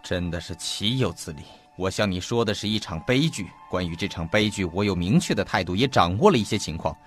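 A man acting in an audio drama as an earnest investigator, speaking in a formal, deliberate and detailed storytelling manner. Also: deep voice, animated. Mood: indignant. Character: earnest male investigator recounting a mysterious disappearance. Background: faint background music.

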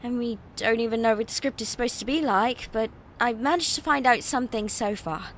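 A woman's voice: a little high, a little fast, slight background noise, lightly acted. An actress playing an eager, nervous young female reporter in an audio drama, chatty and hesitant. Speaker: voice actor.

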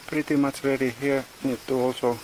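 A man in his forties vlogging casually outdoors on a farm, speaking in halting English and searching for words, recorded on a handheld phone. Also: medium pitch, flat delivery, darkish tone, a little hiss or hum, rough recording. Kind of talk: casual vlog narration. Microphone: phone camera at arm's length. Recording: outdoor ambience with insects and animals.

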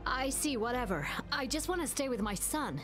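A woman's voice: sits high, lively intonation, normal speed, over music. An actress voicing a defensive mother who clings to her son in a game.

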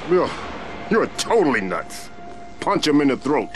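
An actor voicing an irritable, sarcastic magical talking mask in a cartoon game, wry and exasperated. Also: male voice, on the high side, very animated, a little slow. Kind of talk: cartoon video game acting. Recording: loud music behind the voice.

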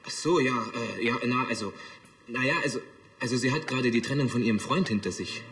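An actor playing an earnest, anxious young apprentice in a TV drama, hesitant and worried. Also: male voice, mid-range voice, fast, fairly bright tone, roomy sound, close to an everyday voice. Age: young adult. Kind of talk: naturalistic TV drama acting.

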